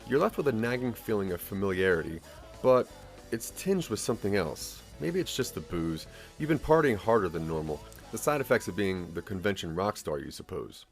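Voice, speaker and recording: A man's voice: lowish voice, big pitch swings, a little fast, faint music behind. A man narrating a scripted second-person story for an audio drama, with a dramatic storytelling manner.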